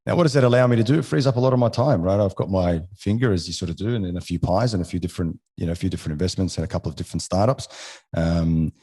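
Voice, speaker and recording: A man's voice: sits low, very fast, mellow. A man in his forties from Egypt whose first language is French, a podcast guest talking casually, often restarting and ending sentences with "right?". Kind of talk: casual interview conversation.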